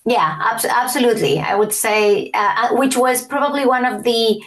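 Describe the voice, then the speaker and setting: Woman in her forties, from Chile, fairly high voice, halting casual speech. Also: fairly bright tone, poor audio. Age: 40s. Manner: hesitant, restarts often, laughs lightly. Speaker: guest. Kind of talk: casual interview.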